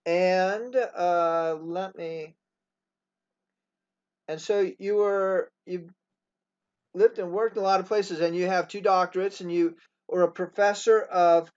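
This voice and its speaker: A man in his sixties hosts a relaxed interview, his speech halting and full of small restarts. His voice sits rather high for a man. The recording sounds dull and lo-fi. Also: clear, resonant tone. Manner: halting, many fillers and restarts. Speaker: host. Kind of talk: casual interview.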